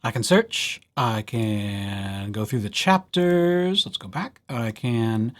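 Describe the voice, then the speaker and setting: A man giving a casual software walkthrough as a librarian, in a playful, wry and chatty way. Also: medium pitch. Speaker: librarian presenting a tutorial series.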